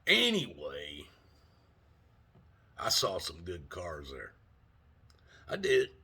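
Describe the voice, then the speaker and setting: A man's voice: low voice, huge pitch swings, drawn-out words, sharp tone, breathy edge. A man in his sixties telling a rambling, folksy story in a casual vlog, laughing often and cursing mildly. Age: 60s. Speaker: vlogger.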